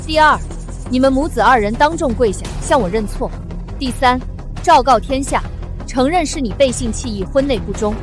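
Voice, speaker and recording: A proud, high-voiced wronged noblewoman general. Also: expressive, normal speed, music in the background. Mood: cold, commanding. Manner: resolute, declarative, cutting. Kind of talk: Chinese costume short drama acting.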